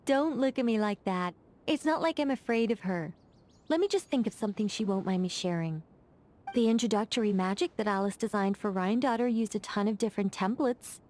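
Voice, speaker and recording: A woman's voice: medium pitch, brisk, a little mellow, close to an everyday voice. An actress voicing a poised, playful female mage in a game, talking in a wry, teasing, chatty way. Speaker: game character. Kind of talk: game voice lines.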